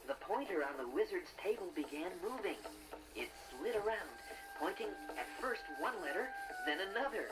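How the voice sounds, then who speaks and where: Acted earnest talking bear, gentle storyteller, animated intonation, children's read-along, musical backing. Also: mid-range voice, moderate pace, over music, natural voice, low-quality recording. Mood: earnest storytelling.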